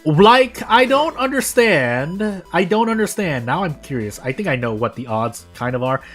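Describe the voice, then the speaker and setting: An American man with a fairly high voice streams a game with music playing faintly behind him. He talks casually and loosely, wandering between thoughts and correcting himself mid-sentence. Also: very animated, normal speed, a bit bright. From United States. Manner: rambling, self-correcting. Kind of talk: casual live gameplay commentary.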